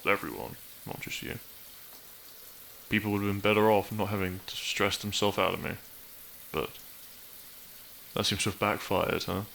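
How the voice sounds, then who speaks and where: Casting: male actor as melancholy young best friend, gravelly, wry and halting, intimate roleplay audio with noticeable background ambience. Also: low voice, fairly bright tone, slight background noise, full character voice, rough recording. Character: depressed young man, dry-witted, awkward, confessing to best friend.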